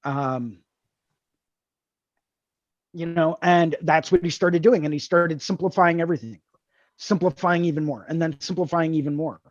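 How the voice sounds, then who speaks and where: A man's voice: a little high, normal speed, long pauses, dry recording, lo-fi sound. A man hosting a tattoo drawing group over a video call, explaining ideas earnestly and repeating key words. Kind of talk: casual mentoring chat on a video call.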